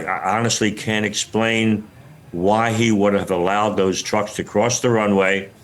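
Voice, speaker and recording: An older man being interviewed as an expert, explaining things haltingly as he searches for words. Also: sits low. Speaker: retired air traffic controller, interviewed expert. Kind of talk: news interview, unscripted explanation.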